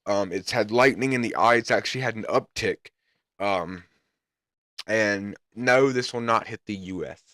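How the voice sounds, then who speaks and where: A man hosting a live weather stream, answering viewer questions casually and hesitantly, his voice going hoarse. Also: fairly low pitch, normal speed, fairly bright tone. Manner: hesitant, with many ums and restarts. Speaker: livestream weather host.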